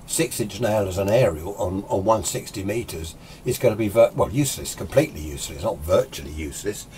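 Older British man, low voice, chatty explainer. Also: animated, a little fast, darkish tone, a little hiss or hum. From United Kingdom. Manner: opinionated, chatty, rambling. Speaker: amateur radio hobbyist presenting a tutorial. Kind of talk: informal off-the-cuff explainer. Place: small indoor radio workshop.

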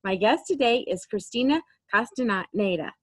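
A woman's voice: mid-range voice, animated, normal speed, no room sound. A woman hosting a podcast interview, introducing her guest and asking questions in a friendly, eager way. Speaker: podcast host. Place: indoors, home room.

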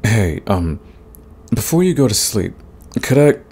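A man playing a big, grumpy but soft himbo demon in a romantic comedy audio roleplay, husky and stammering in protest. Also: fairly low pitch, big pitch swings, unhurried, slight background noise. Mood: grumpy, tender. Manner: grumbling, sighing, stammering protests. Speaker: voice actor.

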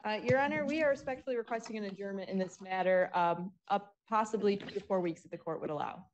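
Fast-talking woman lawyer, slightly noisy call. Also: mid-range voice, dull audio. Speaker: assistant public defender. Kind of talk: formal courtroom address. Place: courtroom, heard over video call. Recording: noisy courtroom video-call audio.

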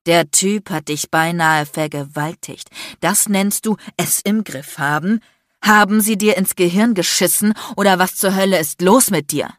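A woman's voice: sits low, a little slow, hardly any pauses, dry recording, clean studio sound. Woman voicing an icy, enraged, domineering man. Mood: outraged, sarcastic. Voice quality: icy, hissing. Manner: shouting, biting, threatening, crude. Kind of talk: dramatic audiobook character acting.

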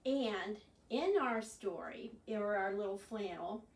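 A woman in her sixties presenting a children's storytime, expressive and often prompting the children with questions. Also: medium pitch, slow pace. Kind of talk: children's storytime, singsong chanting and read-aloud. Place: small furnished living room, indoors. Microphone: camera a few metres away. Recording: roomy, distant camera microphone.

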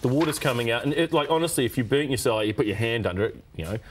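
A man's voice: medium pitch, rapid-fire, a little hiss or hum. A man in his forties with a New Zealand accent, chatting casually and with self-deprecating humour as he reviews a product in a TV studio. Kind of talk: casual TV demonstration chat. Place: TV studio at a desk.

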